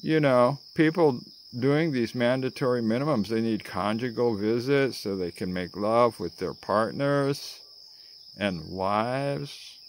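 Slow-talking older American man, theatrical. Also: mid-range voice, slight background noise. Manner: theatrical, dramatic pauses, chuckling asides. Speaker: vlogger. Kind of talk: spoken-word political rant to camera. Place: outdoors among greenery. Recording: outdoor ambience with chirping insects.

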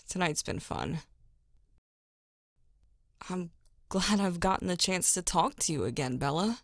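Woman as a slow, subdued, weary mother. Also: lowish voice, lively intonation, no room sound, clean studio sound, full character voice. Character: weary, reserved mother on a night out. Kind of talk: audio drama acting.

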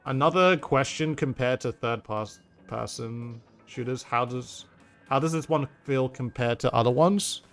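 A man's voice: mid-range voice, measured pace, a bit bright, soft background music. A man with an English accent chatting casually with his viewers while he plays a game, answering their questions and often calling them "dude". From England. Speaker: game streamer. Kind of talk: casual live-stream chat while gaming.

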